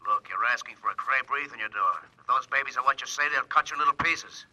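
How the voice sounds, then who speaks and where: An actor playing a blunt, skeptical police detective heard over a telephone in an old radio drama. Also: male voice, medium pitch, fast, pushed and bright. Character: gruff male police detective. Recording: dull old radio recording.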